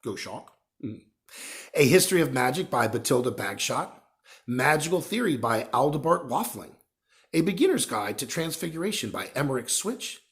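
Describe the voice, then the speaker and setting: A man's voice: medium pitch, a bit bright. A man reading a children's novel aloud as a narrator. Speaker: story reader.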